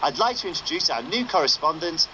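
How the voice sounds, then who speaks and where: An underground radio presenter for a game. His voice is bright and lively, with dry, sardonic announcing. There is faint noise behind him. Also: a little high, quick pace, rough recording. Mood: wry, upbeat. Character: wry underground radio show host, a man. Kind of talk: broadcast radio presenting, scripted game dialogue.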